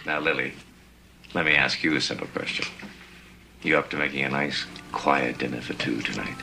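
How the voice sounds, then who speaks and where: A man's voice: extremely low pitch, steady tone, normal speed, gravelly, rough recording. An actor playing a suave, manipulative, flirtatious man in an audio drama. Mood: smooth. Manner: suave, coaxing. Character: smooth-talking, manipulative, flirtatious male schemer.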